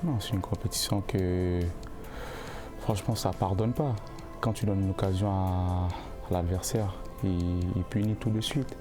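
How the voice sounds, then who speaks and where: A young male football player answering interview questions in a casual, halting way, often repeating words while he looks for the phrasing. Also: sits low, steady tone, over music. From born in the United States, raised in Canada. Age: young adult.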